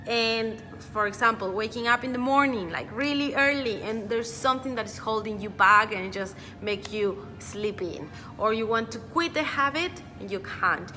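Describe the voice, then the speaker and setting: A woman speaks earnestly to her viewers and repeats words for emphasis. Her voice sits a little high and sounds bright. The room gives a long echo, and faint music plays underneath. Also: slight background noise. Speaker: personal development coach. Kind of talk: motivational talk to camera.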